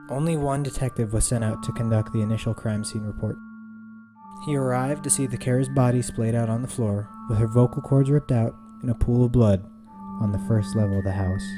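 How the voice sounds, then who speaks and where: A man reading a scripted horror story in a measured, suspenseful way, with dramatic pauses. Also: mid-range voice. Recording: music behind the voice. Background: eerie background music.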